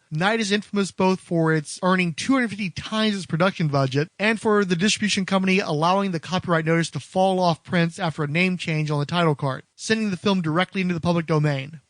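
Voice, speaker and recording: A man with a notably high voice narrates a scripted film retrospective as a podcast host. His phrasing is wordy and literate, and the recording is close and dry. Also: fairly bright tone, no room sound.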